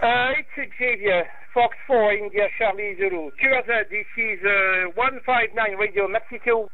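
High French-accented male radio operator, thin phone-like band. Polite, hesitant callsign exchanges. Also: normal speed. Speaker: amateur radio operator running contacts. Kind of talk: brisk ham radio contact exchanges with callsigns. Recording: thin phone-like radio transmission, faint noise.

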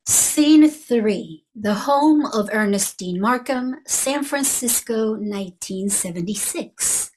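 Higher-voiced woman reading stage directions. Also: expressive, takes it slowly, few pauses, darkish tone, low-quality recording. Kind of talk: scripted narration.

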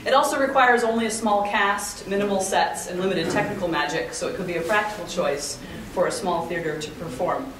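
A woman in her thirties presents a talk in a slightly low voice. She is picked up from across an echoey room, with some audience sound around her. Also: fairly quick, a crowd in the background. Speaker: conference speaker. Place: hotel conference room, indoors. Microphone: camera across the room. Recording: echoey meeting room, distant mic, audience noise.

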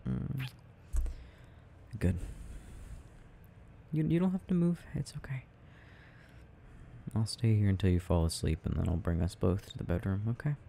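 Guy doing a sweet teasing boyfriend asmr, mumbly gamer rambling, low voice, really unhurried. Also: vocal fry, mellow, slight background noise. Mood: tender, reassuring. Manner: murmured, rambling to himself. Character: affectionate, gently teasing boyfriend gaming late at night. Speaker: audio roleplay performer. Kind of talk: soft intimate ASMR boyfriend roleplay.

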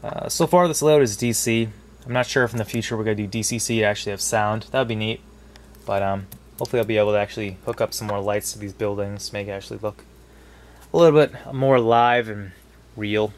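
A man's voice: lowish voice, fast talker. A young man with a stuffy cold, casually narrating a hobby video in a basement, rambling with lots of fillers. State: congested from a cold. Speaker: model railroad hobbyist.

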